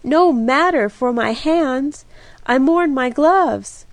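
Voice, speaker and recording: A woman voicing a flustered, dramatic young woman who cries out in high, breathless exclamations. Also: slow, dry recording. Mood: mournful.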